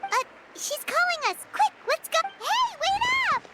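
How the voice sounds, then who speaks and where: Squeaky, very high, boastful little dragon. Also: female voice, very animated, a little slow, sharp tone, soft background music, heavily acted. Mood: urgent. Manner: brash, exclamatory. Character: tiny boastful dragon companion, childlike and excitable. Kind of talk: animated video game voice acting.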